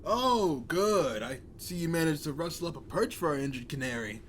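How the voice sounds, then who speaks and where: Man acting calm, wry medic friend, noisy ambience. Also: fairly high pitch, moderate pace, natural voice. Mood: wry, caring. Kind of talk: audio drama acting. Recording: clear background ambience noise.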